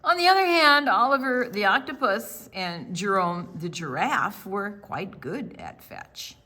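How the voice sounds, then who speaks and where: A woman hosting a children's storytime, reading a picture book aloud with theatrical, deliberate phrasing that draws in young listeners. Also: mid-range voice, expressive, sharp tone.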